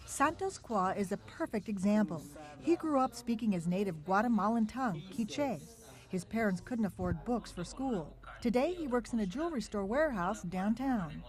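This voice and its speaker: A woman's voice: medium pitch, expressive, normal speed. A woman reporter giving scripted, upbeat narration for a TV news feature. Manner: upbeat, clear reporter delivery.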